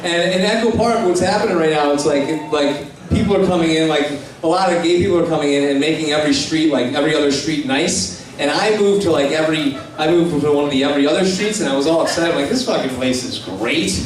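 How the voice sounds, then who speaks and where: A man performing live stand-up comedy in a bar, telling a story in a casual, halting, sweary way. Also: fairly high pitch. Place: live on stage in a bar and grill. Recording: echoey bar room, distant mic, faint noise.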